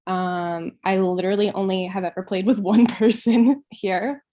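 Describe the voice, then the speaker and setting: A woman's voice: medium pitch, steady tone, moderate pace, warm tone. A woman in her twenties from Connecticut, upbeat and chatty on a video call. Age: 20s. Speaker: player.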